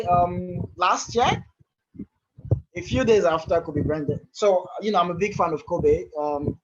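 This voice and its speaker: Husky high-voiced man giving a tutorial. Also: highs cut off. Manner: loose, conversational, repeats and restarts phrases. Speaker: presenter. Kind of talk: live screen-share software tutorial.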